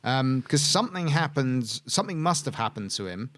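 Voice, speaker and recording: A man with a mid-pitched voice, chatting on a podcast. He rambles, corrects himself mid-thought and leans on fillers. The recording is dry and close, with no room sound. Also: lively intonation, normal speed, a bit bright, no echo. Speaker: podcast co-host. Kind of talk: casual chat.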